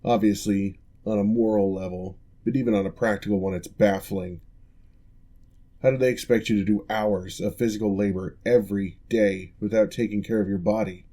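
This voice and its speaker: Guy doing a calm formal orc ex-general, lowish mellow voice, measured and reassuring. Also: natural voice. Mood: indignant. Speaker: physical therapist. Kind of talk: ASMR roleplay.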